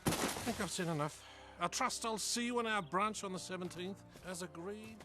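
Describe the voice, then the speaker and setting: An actor playing a prim, fussy bank official who speaks formally and precisely in a film comedy-drama. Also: male voice, high-pitched, normal speed, pushed and bright, faint music behind. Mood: curt, formal.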